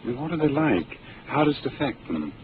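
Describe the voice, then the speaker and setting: An actor playing a polite, curious civilian passenger who asks many courteous questions, in a 1950s radio drama. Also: male voice, medium pitch, sing-song, a little fast, lightly acted. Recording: hissy narrow 1950s radio broadcast.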